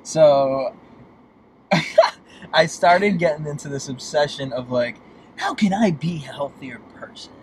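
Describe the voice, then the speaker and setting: Man, late twenties, from Boston, casual guest chat, expressive, candid, noticeable road noise. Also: a little high, slow pace, pauses often. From Boston, United States. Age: late 20s. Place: inside a moving car. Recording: clear vehicle road noise. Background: car driving noise.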